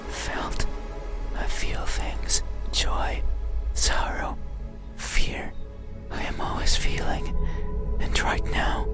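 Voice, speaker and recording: A man voicing an ageless, mysterious being in a horror visual novel, speaking a solemn, halting monologue in a breathy, voiceless whisper. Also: lightly acted. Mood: brooding, intense. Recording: loud eerie music over whisper. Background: loud scary music.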